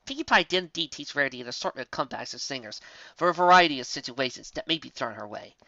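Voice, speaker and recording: A woman's voice: rumbling low, lively intonation, fast, pushed and bright, no echo. A woman narrating a scripted story expressively as a storyteller. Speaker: storyteller, reader. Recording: slightly dull, dry home recording.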